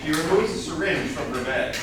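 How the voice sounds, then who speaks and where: Guy reading stage directions w dry asides, lively pitch, echoey room far from mic. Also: a little fast, close to an everyday voice. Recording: distant mic, echoey room, faint noise.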